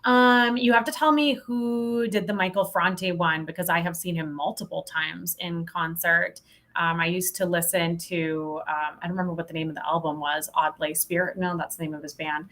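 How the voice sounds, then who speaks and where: A woman with an American accent chats casually as an interview guest, informal, animated and self-deprecating. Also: fairly low pitch, normal speed. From Montana, United States. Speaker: podcast guest, sales professional.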